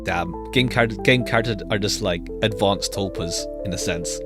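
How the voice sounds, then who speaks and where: A woman with an extremely low voice chats over clearly audible jazzy music. She streams a game in a casual, loose way, thinking aloud as she comments. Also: moderate pace. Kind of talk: casual live gameplay commentary. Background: jazzy game background music.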